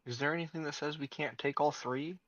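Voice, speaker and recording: A man's voice: a little high, steady tone, a little fast, fairly bright tone, no room sound. A man casually roleplaying as a player in a tabletop game, recorded dry and a little dull.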